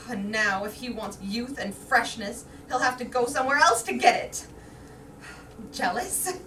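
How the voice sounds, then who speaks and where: A woman's voice: a little high, fairly bright tone. A woman playing a vain, anxious aging wife in staged reader's theater, dramatic and emphatic. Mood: jealous, wounded. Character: vain, anxious aging wife awaiting her soldier husband. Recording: roomy, distant mic, faint noise.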